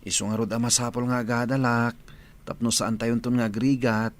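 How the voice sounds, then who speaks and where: A man's voice: medium pitch, little pitch movement, fairly quick, lightly acted. A man playing an earnest, dreamy teenage schoolboy in a village comedy radio drama. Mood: earnest, determined.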